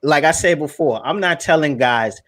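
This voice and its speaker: A man's voice: a little high, moderate pace, a bit bright. A young man talking casually and emphatically on a livestream, repeating words as he makes his points. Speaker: guest speaker on finance.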